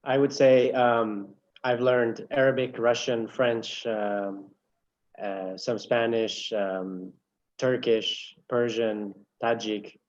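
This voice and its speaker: A man, a diplomat, speaking with enthusiasm and pausing as he lists things. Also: mid-range voice, fairly even intonation. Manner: warm enthusiasm, lists with pauses. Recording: dull lo-fi sound.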